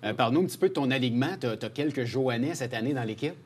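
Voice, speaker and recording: Fast-talking Quebec French man hosting. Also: medium pitch, expressive, breathy edge. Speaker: sports show host. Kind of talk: informal interviewing.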